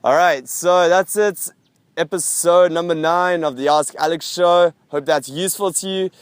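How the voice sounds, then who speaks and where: A man's voice: fairly high pitch, fairly even intonation, normal speed, smooth, dry recording. A young man with a South African accent, hosting a casual vlog and chatting upbeat to camera about teaching abroad. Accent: South African English. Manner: upbeat, chatty, with frequent fillers. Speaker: vlog host answering viewer questions.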